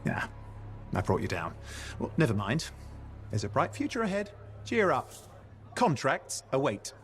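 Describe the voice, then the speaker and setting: An actor playing a chatty, restless young sidekick in a video game, a roguish charmer who rambles playfully and theatrically. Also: male voice, medium pitch, huge pitch swings, a little slow, a bit bright, a little hiss or hum, exaggerated voice. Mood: wry, breezy. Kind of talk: scripted video game dialogue.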